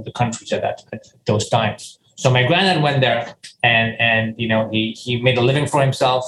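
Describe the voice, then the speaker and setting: A man's voice: mid-range voice, noisy background. Earnest man in an echoey room. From Ghana. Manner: earnest, with frequent restarts and "you know". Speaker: company founder and CEO. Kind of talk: conversational interview answers.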